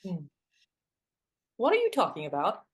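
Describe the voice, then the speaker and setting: A woman's voice: lowish voice, huge pitch swings, normal speed. A woman acting in a naturalistic drama as a wry, self-deprecating best friend who sighs, hesitates and teases. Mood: wry grumbling.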